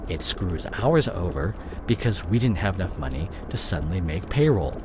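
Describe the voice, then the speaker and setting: Casting: male actor as fallen CEO and unfaithful boyfriend for audio drama; lowish voice, very changeable intonation, anguished and halting. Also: very animated. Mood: uneasy explaining. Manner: halting, emotional, repeats and breaks off words. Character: disgraced CEO boyfriend, anguished, guilt-ridden, confessing his cheating. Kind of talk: dramatic audio roleplay monologue addressed to the listener. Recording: clear vehicle noise behind the voice. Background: car ambience.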